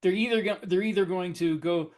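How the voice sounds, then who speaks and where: Higher-voiced man making his point. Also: fairly quick, darkish tone. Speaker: podcast co-host, author. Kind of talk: casual chat.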